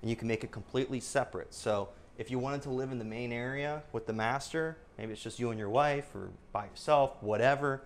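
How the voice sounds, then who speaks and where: A man in his thirties giving an upbeat real estate walkthrough of a house, listing features in quick bursts as he walks through the rooms. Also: mid-range voice, a little hiss or hum. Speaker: real estate agent giving a home tour. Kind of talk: enthusiastic walkthrough tour. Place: inside and around a single-story house.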